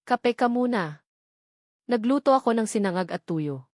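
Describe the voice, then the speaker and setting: Old woman cook in a radio-style drama, slow and teasing, cryptic warnings, as read by a woman narrator. Dry, close sound. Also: a little high, expressive, darkish tone, no echo.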